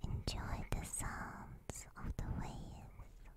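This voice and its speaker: A woman whispers very slowly and softly, guiding the listener to relax. Her breathy delivery is gentle and soothing, in the manner of an ASMR stream, spoken close into a binaural mic. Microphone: close binaural microphone.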